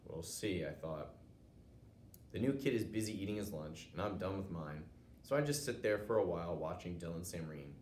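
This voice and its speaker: American male storybook narrator, low voice, teacher reading aloud, recorded in an echoey room. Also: expressive, moderate pace, a little mellow. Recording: roomy echo, noticeable background noise.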